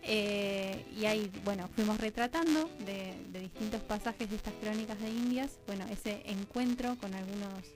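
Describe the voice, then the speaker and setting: A woman with an Argentine accent explaining things conversationally as an interview guest, halting and correcting herself as she goes. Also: mid-range voice, rough recording. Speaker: interview guest, radio drama scriptwriter. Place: radio studio. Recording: music clearly playing behind voice.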